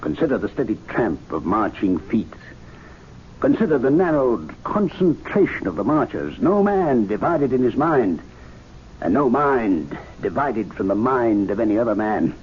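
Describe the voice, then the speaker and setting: An actor playing an authoritative, persuasive club member with an oratorical manner in a radio mystery drama. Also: male voice, medium pitch, very animated, slightly rough. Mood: fervent, persuasive. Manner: oratorical, deliberate rhetorical phrasing. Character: authoritative male club member, assured, didactic, persuasive theorist. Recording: dull vintage radio recording with hiss.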